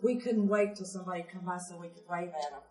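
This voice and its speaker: A woman's voice: on the low side, fast, warm tone. A woman, speaking in a folksy, conversational interview style as a member of a community theatre troupe. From Jessamine County, Kentucky. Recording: roomy echo, distant microphone.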